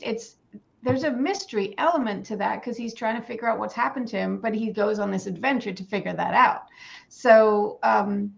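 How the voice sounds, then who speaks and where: A woman's voice: on the high side, vocal fry, lo-fi sound. A middle-aged woman author chatting casually on a panel, with lots of fillers and restarts.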